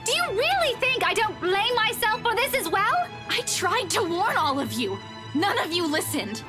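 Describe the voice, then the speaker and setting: An actress playing a defensive, bitter young woman in a visual novel game, accusing and confrontational, with game music behind her. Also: very high-pitched.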